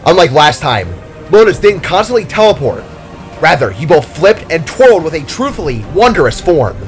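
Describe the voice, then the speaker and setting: Higher-voiced man narrating dramatically over music. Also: sing-song, normal speed. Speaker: narrator and storyteller. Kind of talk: dramatic scripted narration of an action story.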